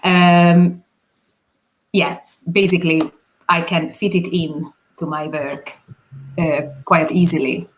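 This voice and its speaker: Slow, low Hungarian-accented woman. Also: steady tone, slight background noise, rough recording. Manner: hesitant, many fillers and restarts. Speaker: guest, videographer. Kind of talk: interview answers.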